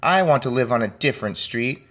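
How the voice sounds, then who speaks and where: A man's voice: medium pitch, lively intonation, fairly quick, fairly bright tone. A man reading the part of an earnest young boy in a storybook, with emphatic, plain-spoken delivery. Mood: wishful.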